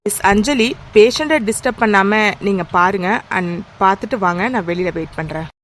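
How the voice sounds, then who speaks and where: A woman playing a calm, polite hospital doctor in an audio drama, speaking in a matter-of-fact way. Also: mid-range voice, brisk, a little hiss or hum, lightly acted. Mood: calm, businesslike.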